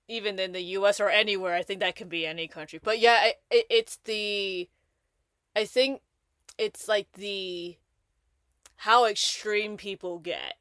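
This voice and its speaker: A woman host chatting casually on a podcast, animated and rambling, often restarting her sentences. Her voice is mid-pitched, and the recording is close and dry with no room sound. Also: no echo. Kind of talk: casual conversation.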